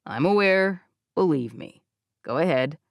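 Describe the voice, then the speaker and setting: Lady reader doing a teasing young guy in a novel, lower voice, laid back, no room sound. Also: animated, a little slow, gravelly, dry recording, clean studio sound, heavily acted. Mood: casual, encouraging. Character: teasing, easygoing young man, a foster brother and actor. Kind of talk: audiobook character dialogue.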